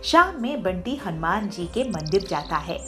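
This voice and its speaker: A woman's voice: fairly low pitch, big pitch swings, measured pace, pushed and bright, faint music behind. A woman reading scripted narration for a children's cartoon moral story.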